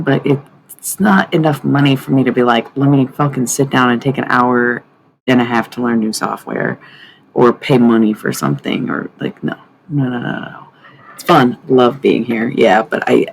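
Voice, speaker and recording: A woman with a raspy voice hosting a live stream from home at night, chatting loosely to viewers and swearing freely. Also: rumbling low, a little hiss or hum, poor audio. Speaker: livestream host. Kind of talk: casual live-stream chat. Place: at home at night, others asleep nearby.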